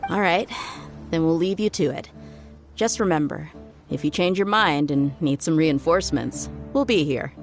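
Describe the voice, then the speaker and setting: A breezy, joking female mercenary from a fantasy game with an unusually low voice. She laughs easily and keeps things casual. A clear game score plays under her. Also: moderate pace. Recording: game music behind.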